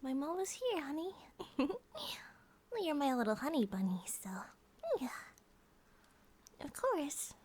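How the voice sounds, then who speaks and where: A woman voicing an affectionate, playful bear-girl wife in a gentle, rambling, intimate ASMR roleplay, often dropping toward a whisper. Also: high-pitched, sing-song, measured pace, pauses often. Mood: tender, comforting. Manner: gentle, doting, hesitant rambling with soft giggles.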